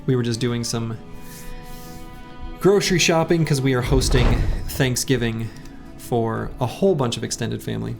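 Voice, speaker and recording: A man streaming a game, chatting casually with viewers in a rambling way, his voice a little hoarse. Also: mid-range voice, normal speed. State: slightly hoarse. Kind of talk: casual live-stream chat while playing. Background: faint game music.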